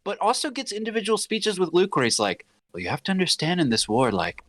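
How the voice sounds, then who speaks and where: A man talks in a loose, joking podcast style with a slightly high voice. His pitch moves around a lot as he animatedly riffs and acts out bits of mock dialogue. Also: very animated, fast talker, fairly bright tone. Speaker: podcast co-host or guest. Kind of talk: casual chat, joking pop-culture discussion.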